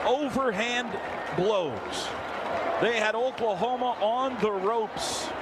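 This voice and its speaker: A high-voiced American man does play-by-play for a football radio broadcast. He speaks in punchy, dramatic phrases. A very loud crowd and heavy stadium noise fill the space behind him.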